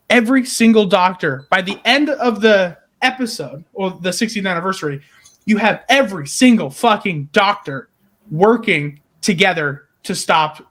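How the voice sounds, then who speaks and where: A man with a notably high voice, chatting casually as a podcast co-host. He is excitable and emphatic, pitching fan ideas with the odd swear word.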